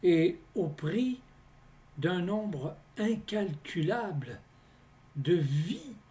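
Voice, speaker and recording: A man reciting his poems in a scripted, deliberate delivery, phrase by phrase with pauses between them. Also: high voice, very animated, mellow. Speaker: poet reading own poems. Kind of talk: slow scripted poetry recitation.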